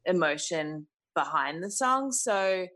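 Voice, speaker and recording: A musician in her thirties from New Zealand talks through her answers slowly and thoughtfully. She hesitates often and fills the gaps with ums and "you know". Her voice sits fairly low. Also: expressive, a bit bright. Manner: thoughtful, hesitant, many fillers. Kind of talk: interview answers.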